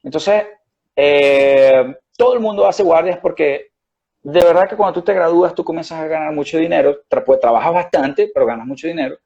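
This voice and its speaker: A man's voice: on the high side, fairly quick, gravelly, a little mellow, lo-fi sound. A man with a Venezuelan accent, a guest doctor explaining things in a rambling way with many restarts and fillers. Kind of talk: conversational interview.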